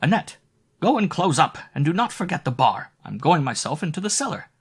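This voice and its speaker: A man's voice: sing-song, brisk, a bit bright, breathy edge, full character voice. A man reading a storybook voicing a bossy, stout old female innkeeper who scolds and shrieks. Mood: commanding. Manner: shrill, scolding, screaming in panic.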